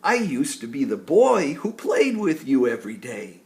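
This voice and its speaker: Man as an earnest, soft-spoken storybook boy. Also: medium pitch, wildly swooping pitch, unhurried. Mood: shy, earnest. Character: earnest, shy young boy turned into a tree. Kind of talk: storybook character voice.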